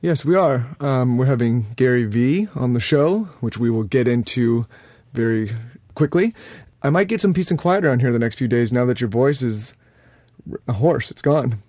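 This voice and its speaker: Man co-host, mid pitch, teasing banter, podcast chat. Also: lively intonation, moderate pace, mellow, no echo. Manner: upbeat, teasing. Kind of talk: casual banter with his wife.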